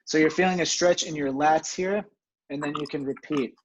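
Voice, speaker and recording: A man from New Jersey teaching a home exercise webinar from his home, chatty and self-deprecating, with a steady, fairly monotone delivery and filler words. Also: on the high side, normal speed, lo-fi sound, background noise. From New Jersey, USA. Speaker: physical therapy webinar presenter.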